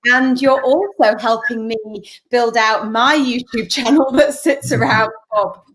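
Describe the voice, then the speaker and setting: A woman's voice: a little high, steady tone, measured pace, fairly bright tone, poor audio. A woman in her thirties hosting a video call interview, chatty and enthusiastic. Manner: enthusiastic, chatty, laughs often. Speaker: host. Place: home room with shelves indoors. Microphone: video call webcam.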